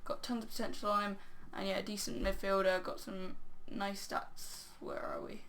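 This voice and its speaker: Rambling hoarse woman commentating a game. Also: mid-range voice, level tone, moderate pace, fairly bright tone, slight background noise. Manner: rambling, filler-heavy, "um, yeah". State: crackly, hoarse voice. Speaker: game channel host. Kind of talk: casual video game commentary.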